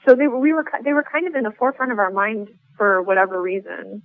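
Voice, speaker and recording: A woman's voice: mid-range voice, steady tone, fast, no echo, narrow, tinny audio. A woman speaking casually as a playwright being interviewed.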